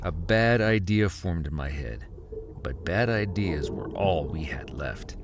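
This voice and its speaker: An actor playing a desperate husband, narrating a survival horror audio drama with intense, halting suspense. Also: male voice, low-pitched, lively intonation. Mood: grim suspense. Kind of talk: dramatic first-person horror narration and dialogue. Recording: music under the voice. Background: music.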